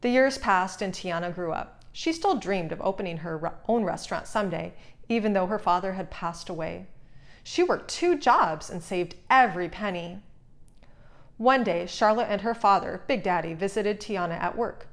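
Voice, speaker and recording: A woman reads a children's storybook aloud. Her pitch sits in the middle for a woman, and she delivers scripted narration. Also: expressive, moderate pace. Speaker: storybook reader.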